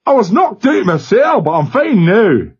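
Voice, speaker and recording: A man voicing a truculent young male seaman with a slurred Glasgow accent and a throaty, husky voice. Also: high voice, sing-song, unhurried, darkish tone, voice pushed up, heavily acted. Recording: dull lo-fi recording.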